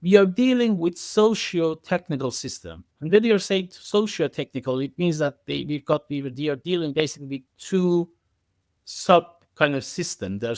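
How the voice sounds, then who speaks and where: A man's voice: a little high, a little mellow, no echo, clean studio sound. A man speaking as an expert podcast guest, explaining technical ideas in a hesitant, circling way with frequent self-corrections.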